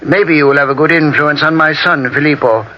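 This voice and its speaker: A man's voice: on the high side, fairly even intonation, a little fast, natural voice. A man playing a genial, fussy old Italian painter with an effusive, chuckling manner in an old-time radio drama. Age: older. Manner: effusive, chuckling, sighing exclamations. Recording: dull, noisy vintage AM radio recording.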